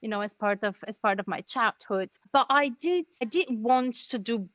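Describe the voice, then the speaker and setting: A woman's voice: on the high side, animated, moderate pace, fairly bright tone, no room sound. A woman in her thirties from Bulgaria, a native Bulgarian speaker, explaining things articulately as an interview guest on a video call. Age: 30s. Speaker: interview guest, startup co-founder. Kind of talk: conversational explanation. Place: plain room at home, video call.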